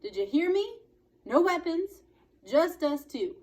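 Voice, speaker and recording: A woman reading aloud plays a feisty little storybook man. The voice is pushed up high, with a drawling twang, and has a cocky, taunting edge with folksy, drawn-out challenges. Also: sing-song, a little mellow, heavily acted. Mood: taunting, combative. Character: scrappy, taunting little toy cowboy man, cocky and mocking. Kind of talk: children's storybook read-aloud.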